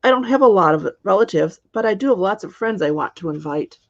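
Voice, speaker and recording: A woman's voice: mid-range voice, animated, a little fast. Storybook princess, young and earnest, voiced by a woman reader, slightly dull lo-fi sound. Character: young princess planning a small wedding, earnest.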